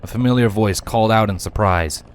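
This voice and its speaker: Low-voiced man narrating a story. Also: a little fast. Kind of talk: scripted fan fiction narration.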